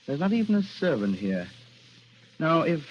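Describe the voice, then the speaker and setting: An actor playing a smug, condescending gentleman villain in a vintage radio suspense drama, with mocking politeness and quiet menace. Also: a little high, very animated, normal speed. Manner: mocking, feigned politeness with soft chuckles. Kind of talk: 1940s radio suspense acting. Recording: noisy, muffled old-time radio recording.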